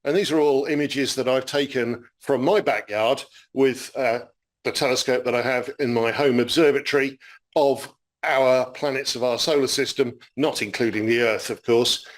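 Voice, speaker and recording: A man's voice: medium pitch, fairly even intonation, normal speed. A man giving a public astronomy lecture, friendly and explanatory, with frequent fillers as he talks through slides.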